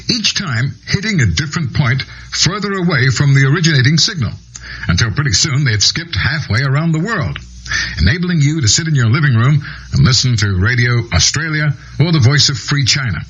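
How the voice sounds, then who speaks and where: An American man narrates an instructional tape with a very husky, rough-edged voice and lively intonation. The sound is dull and lo-fi, like an old cassette transfer. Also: medium pitch. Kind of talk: scripted educational narration.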